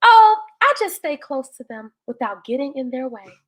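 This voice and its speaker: Spirited, sassy storybook housekeeper , acted by a woman storyteller. High, swooping, direct. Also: very animated, normal speed. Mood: coaxing. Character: spirited, sassy, warm-hearted female housekeeper Sunday.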